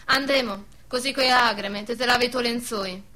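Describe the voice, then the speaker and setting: An actress playing a brisk, practical peasant servant woman with a rural central Italian dialect in a drama. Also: on the high side, sharp tone. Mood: consoling. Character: peasant servant woman, consoling yet tartly sarcastic to mistress.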